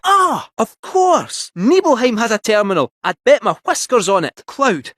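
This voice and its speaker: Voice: mid-range voice, very animated, measured pace, a bit bright, no echo, clean studio sound. An actor voicing a jaunty, theatrical robot cat with a Scottish accent in a video game, helpful, folksy and a little fussy. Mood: brisk, confident. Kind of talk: animated video game character acting.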